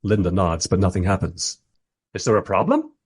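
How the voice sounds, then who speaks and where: An actor playing a mild, polite time-travelling interviewer who narrates his story wryly in a radio play, with a husky voice. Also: low-pitched, very animated, moderate pace, exaggerated voice. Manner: wry, polite. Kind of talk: first-person radio play narration and dialogue.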